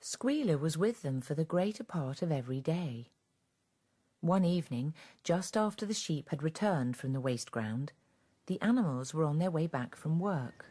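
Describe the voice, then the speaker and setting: A woman narrating a radio drama as a grave, measured storyteller of a farm fable. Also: deep voice, expressive, warm tone, breathy edge.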